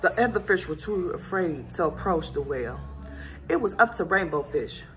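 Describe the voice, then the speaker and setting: Low-voiced woman reading a children's story. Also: moderate pace, soft background music. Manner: gentle, expressive storytelling. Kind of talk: storybook read-aloud.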